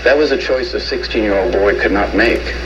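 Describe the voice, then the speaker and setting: A man's voice: on the low side, a little fast, lo-fi sound. A man giving a scripted first-person testimonial, heard over the radio.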